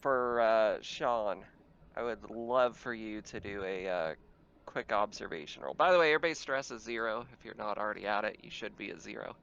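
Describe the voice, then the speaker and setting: A man's voice: on the low side, moderate pace, vocal fry, a bit bright, clean tone, dull audio. A man running a tabletop roleplaying game, narrating casually as game master.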